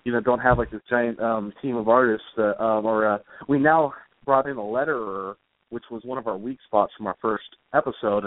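A man giving casual, rambling interview answers as a guest author, speaking in a hesitant, halting way. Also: mid-range voice. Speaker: guest, comic book author. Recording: roomy, phone-like internet radio call.

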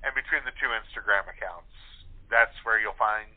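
A man's voice: fairly low pitch, normal speed. A man chatting casually as an interview guest, rambling and correcting himself as he goes. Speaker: guest, playwright and wedding DJ. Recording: narrow tinny phone call.

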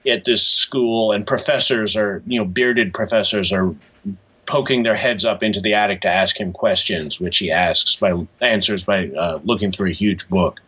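A man speaking as an author being interviewed, in a halting, thoughtful, conversational way. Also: on the low side, pushed and bright, slightly rough. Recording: dull, muffled lo-fi audio.

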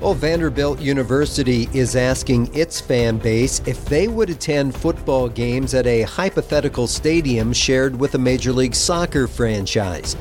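A man reads a news roundup in a steady, measured newsreader delivery. His voice is mid-pitched for a man, with faint music playing under him. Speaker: news reporter. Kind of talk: reading a news segment.

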